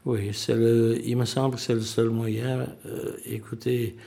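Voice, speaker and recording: An older man from Belgium answering interview questions, halting, with many pauses and restarts. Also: medium pitch, little pitch movement, creaky, warm tone. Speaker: museum president, witness.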